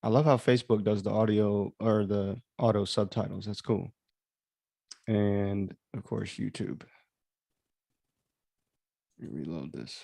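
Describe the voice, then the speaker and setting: A man's voice: on the low side, unhurried, creaky, pauses often, mellow, dry recording. A man hosting a livestream in casual, rambling chat, commenting on his own audio drama and easily amused.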